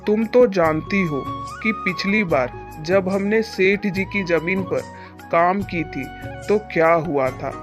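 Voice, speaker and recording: A man's voice: high voice, takes it slowly. A man voicing a humble, earnest poor farmer in a moral-story cartoon, speaking respectfully and with feeling. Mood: downcast, fearful. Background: background music.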